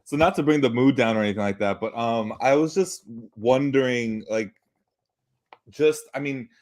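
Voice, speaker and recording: A man in his thirties calling into a live show, speaking in a hesitant, halting way with many restarts. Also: medium pitch, darkish tone. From United States. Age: 30s. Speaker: caller. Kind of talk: casual chat. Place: off camera, joining a live stream remotely.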